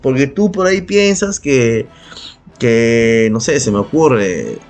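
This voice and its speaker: A man's voice: medium pitch, expressive, takes it slowly, a little mellow. A Peruvian man co-hosting a casual podcast, argumentative and sarcastic, swearing freely as he gives his opinions. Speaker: podcast co-host. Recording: background music under the voice. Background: music.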